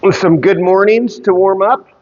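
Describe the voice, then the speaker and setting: A stuffed-up man chatting casually on a fitness livestream, talking in halting, rambling bursts full of fillers. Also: sits high, a little mellow. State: congested, stuffed up. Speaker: fitness streamer. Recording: muffled sound with long reverb.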